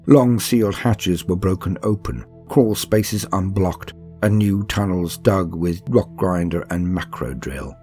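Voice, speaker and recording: A man with a deep voice narrates from a book. His delivery is calm and steady, measured like an audiobook meant for relaxing to. Quiet music plays behind him. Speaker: narrator reading a campaign book aloud. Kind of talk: scripted narration, calm bedtime-style audiobook reading.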